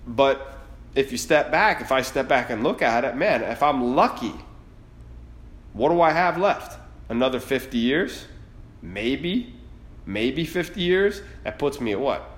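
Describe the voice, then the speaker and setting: A man in his late thirties hosting a podcast, giving a blunt, fired-up motivational monologue full of swearing. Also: medium pitch. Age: 30s. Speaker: podcast host. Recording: roomy echo.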